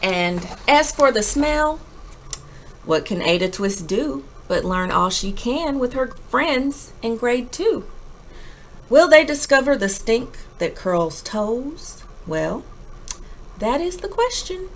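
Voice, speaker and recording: A woman reads a picture book aloud for children in the manner of a teacher. Her voice is on the high side and swings widely in pitch. She takes her time, with dramatic pauses and emphatic phrasing. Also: big pitch swings, slight background noise. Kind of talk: expressive children's read-aloud, rhyming storybook narration.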